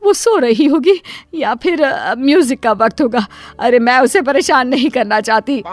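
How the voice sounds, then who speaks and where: A woman's voice: sits high, lively intonation, normal speed, no echo, soft background music, pitched above the performer's own voice. A woman voicing a self-sacrificing middle-aged mother in a cartoon story, who wails and pleads and makes excuses for her daughter. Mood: indulgent. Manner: wailing, pleading, indulgent toward her daughter.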